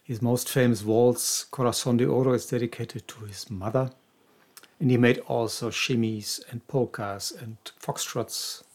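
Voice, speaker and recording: A man co-hosting a podcast, his voice sitting at an ordinary middle pitch. He explains things casually and knowledgeably, often restarting his phrases. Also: fairly even intonation, a little slow, darkish tone. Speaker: podcast co-host.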